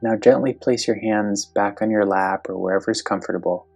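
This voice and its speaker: Low, creaky-voiced meditation guide. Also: steady tone, soft background music. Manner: slow, gentle, instructional.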